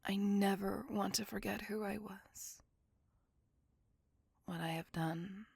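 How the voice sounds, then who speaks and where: A woman voicing a gentle, remorseful immortal vampire in an ASMR roleplay, speaking tenderly and intimately in a breathy whisper. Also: medium pitch, steady tone, dry recording, exaggerated voice. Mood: remorseful, confessing. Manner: slow, tender, earnest confiding.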